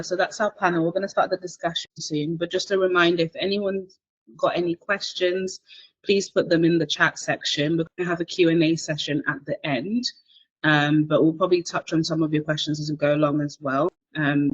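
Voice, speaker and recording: Polite panel facilitator on a call. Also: medium pitch. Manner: polite, organized, friendly reminders. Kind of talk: moderating an online panel. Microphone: video call. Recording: dull video call audio.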